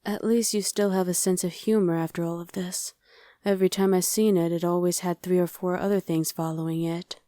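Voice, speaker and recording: A friendly young woman survivor, acted by a woman in an ASMR roleplay, with a soft-edged mellow tone on the low side. The recording is very dry and close. She talks casually and a little hesitantly, matter-of-fact about surviving demons. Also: fairly even intonation, brisk, no room sound, natural voice. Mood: casual, musing. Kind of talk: ASMR roleplay, conversational acting addressed to the listener.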